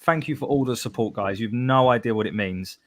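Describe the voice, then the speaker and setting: A man's voice: mid-range voice, fairly quick. A man hosting a live football podcast, reading out listener messages with a laugh. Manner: upbeat, reads out chat messages with laughs. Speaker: podcast host.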